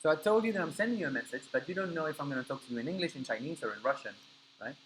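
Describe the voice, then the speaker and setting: A man's voice: a little high, expressive, rapid-fire, a bit bright, slight background noise, poor audio. A man whose first language is Spanish teaches a live coding class, explaining step by step and checking in with his students. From Argentina. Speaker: coding instructor.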